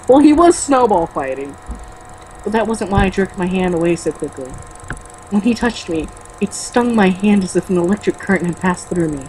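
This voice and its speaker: A woman's voice: lowish voice, expressive, normal speed, darkish tone, slight background noise, rough recording. A woman reading a book aloud as a co-host, mocking it with sarcastic, exasperated comments.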